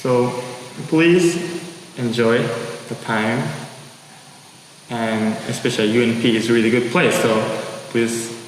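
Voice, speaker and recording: A young man from Japan speaks slowly and haltingly, urging his listeners on with earnest, pleading warmth. His mid-range voice rings through a big echoey hall with a long reverb tail. Steady background noise sits under his words. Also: mid-range voice. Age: 20s. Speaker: exchange student. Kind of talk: earnest motivational address to listeners.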